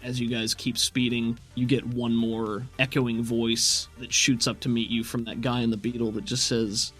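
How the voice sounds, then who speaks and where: A man's voice: mid-range voice, little pitch movement, moderate pace, fairly bright tone, slightly rough, soft background music. A man running a tabletop game as game master, narrating scenes descriptively and chatting casually with players. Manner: conversational, descriptive.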